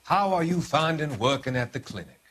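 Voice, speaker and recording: An actor playing a calm, formal psychiatrist with quiet authority, speaking deliberately in a dramatic video game cutscene. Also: male voice, a little high, a little hiss or hum. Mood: calm, evasive. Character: smooth, measured psychiatrist, mature man of quiet authority. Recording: music behind the voice.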